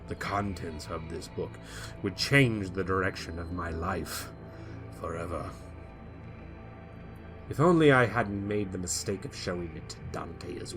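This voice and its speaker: A man giving a dramatic first-person reading as his character, swinging between loud emphatic peaks and quiet low endings. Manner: theatrical, rising to loud emphatic peaks then dropping low. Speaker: player reading character backstory.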